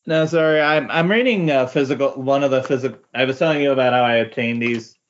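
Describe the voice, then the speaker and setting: A man's voice: mid-range voice, quick pace. A man talks casually as a guest on a discussion show. His manner is tentative and hesitant, with a mid-range voice. The sound is slightly dull and lo-fi. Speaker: podcast guest. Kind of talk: casual chat. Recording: dull, lo-fi voice recording.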